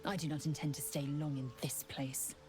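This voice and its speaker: A woman acting as a haughty alien warrior in a fantasy game. She is severe and impatient, with a lowish, clipped, imperious delivery over music. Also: level tone, moderate pace, darkish tone, lightly acted. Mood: disdainful.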